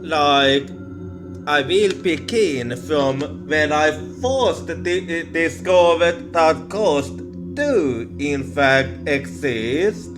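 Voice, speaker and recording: A man telling ghost stories in a horror ASMR roleplay, speaking haltingly and hesitantly with long pauses. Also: fairly high pitch, animated. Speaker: ASMR storyteller. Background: music.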